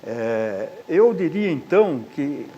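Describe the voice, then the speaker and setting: A man's voice: on the high side, very animated, slow, warm tone, dull audio, slight background noise. A man with a Brazilian Portuguese accent, answering as a panel guest in a thoughtful, hesitant way. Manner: thoughtful, hesitant, uses fillers. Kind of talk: debate answer.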